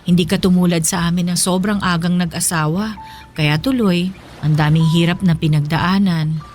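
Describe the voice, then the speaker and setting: A woman's voice: lowish voice, steady tone, normal speed, darkish tone, natural voice. A woman playing a long-suffering, principled mother in a radio drama, speaking in an earnest, emotional way. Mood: gentle, reflective. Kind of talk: Filipino radio drama acting. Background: music.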